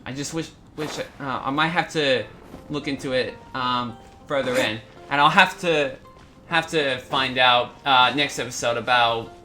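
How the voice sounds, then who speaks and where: A man hosting a casual game playthrough, talking in a halting way and often repeating his words. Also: medium pitch, pushed and bright. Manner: halting, repetitive phrasing. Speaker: gaming video host. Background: game music.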